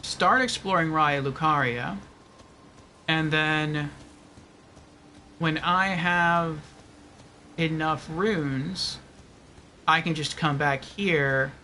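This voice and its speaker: A man's voice: a little high, steady tone, slow, long pauses, sharp tone, a little hiss or hum. A man giving casual livestream game commentary, thinking aloud and reasoning things out step by step.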